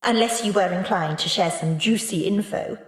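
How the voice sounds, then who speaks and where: An actress playing a brash, sharp-tongued, flippant woman lover in an audio drama. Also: mid-range voice, normal speed, reverberant, lightly acted, rough recording. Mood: mocking. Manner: flippant, cutting.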